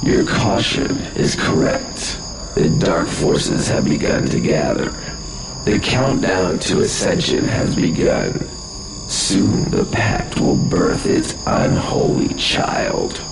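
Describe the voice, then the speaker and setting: A man voicing an ominous, suffering alien captive in a video game, speaking gravely and portentously. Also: deep voice, huge pitch swings, roomy sound, noisy background, heavily acted. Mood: cryptic, grave. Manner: grave, slow, portentous delivery. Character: ominous, suffering alien prisoner, solemn prophetic mentor. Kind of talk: dramatic video game voice acting.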